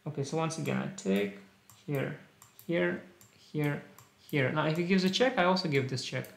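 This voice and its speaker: A young man with a slight Russian-tinged accent talks through ideas in short clipped bursts, his fairly high voice carrying a gravelly edge. He sounds like a casual live streamer in a small room, and the room's echo comes through his webcam-distance mic. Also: animated, moderate pace. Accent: Russian-tinged English. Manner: thinks aloud in short clipped phrases. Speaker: chess streamer. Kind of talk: casual live-stream chat while solving puzzles.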